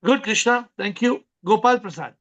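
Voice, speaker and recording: A man with a high voice hosts an online spiritual question session. He leads with repeated questions and short stories from daily life, speaking. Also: normal speed, fairly bright tone, muffled recording. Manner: probing, repeats questions to lead the answer.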